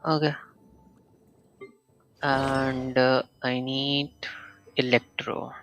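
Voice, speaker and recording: A man casually narrating his own gameplay on a live stream, thinking out loud in slow, hesitant murmurs. His voice carries a gravelly, creaky edge. Faint game music plays behind him. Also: medium pitch, little pitch movement, rough recording.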